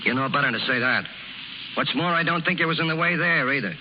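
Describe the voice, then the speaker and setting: A man's voice: a little high, fast talker, close to an everyday voice. An actor playing a tough, protective circus canvas man with blunt, slangy talk in a 1930s radio drama. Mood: concerned, coaxing. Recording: muffled, noisy 1930s radio recording.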